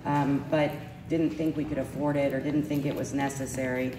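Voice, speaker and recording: Very low-voiced stuffy woman in echoey hall. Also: little pitch movement, normal speed. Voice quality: slightly sore voice. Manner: earnest, many ums and restarts. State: stuffy from allergies. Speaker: parent speaking at a school event. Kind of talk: personal testimonial speech. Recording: echoey hall, speaker far from mic, noisy.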